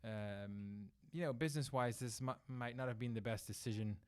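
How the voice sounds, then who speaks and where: A man's voice: medium pitch, normal speed, smooth. A middle-aged man from the southern Netherlands, a Dutch speaker talking English, explaining at length as an interview guest with fillers and restarts. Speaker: winery managing director, guest. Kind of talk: conversational interview.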